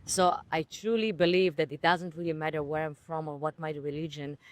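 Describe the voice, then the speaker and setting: A woman from Ukraine, a podcast guest, telling her own story in an earnest, reflective way with some halting restarts. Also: deep voice, fairly even intonation, no room sound. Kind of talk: personal storytelling in conversation.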